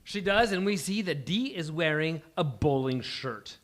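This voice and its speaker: A man's voice: fairly high pitch, lively intonation, a little slow, a bit bright. A man hosting a live table read and narrating the stage directions. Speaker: host and stage-direction reader. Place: live venue before a studio audience. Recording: faint background noise, live event.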